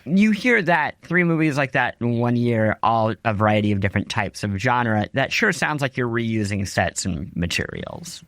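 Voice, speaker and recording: A male podcast host with a mid-pitched, expressive voice. He is articulate and wry as he interviews in a conversational style, recorded very dry with no room sound. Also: normal speed, few pauses, no echo.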